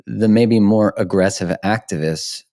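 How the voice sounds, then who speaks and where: A man's voice: on the low side, unhurried, mellow. A man hosting a podcast interview, speaking in an earnest, reflective way and searching for words. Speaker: podcast host. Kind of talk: interview conversation.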